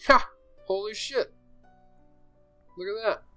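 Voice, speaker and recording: A man's voice: high-pitched, slow, sharp tone, no room sound, soft background music, exaggerated voice. A man playing a hot-tempered, sarcastic driver in an audio horror story, emphatic and full of swearing. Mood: impressed.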